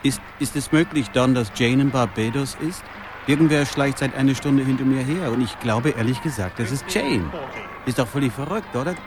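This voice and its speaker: A man's voice: medium pitch, moderate pace, background noise, lightly acted. An actor playing a sheepish, flustered philandering man on the phone in a radio drama. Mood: sheepish, confiding.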